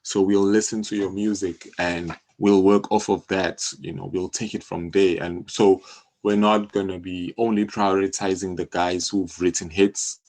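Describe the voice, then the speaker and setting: A man's voice: deep voice, level tone, a little slow, a little mellow. A man in his thirties with a South African accent, explaining his work informally as an interview guest, often saying "you know". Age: 30s. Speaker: interview guest, music publisher. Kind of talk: conversational interview.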